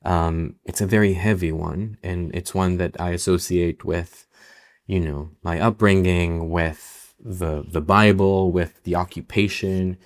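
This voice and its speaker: Deep-voiced reflective young man. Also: fairly even intonation, unhurried. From Israel. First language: Hebrew. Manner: reflective, many restarts and "um"s. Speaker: novelist guest. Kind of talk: podcast interview.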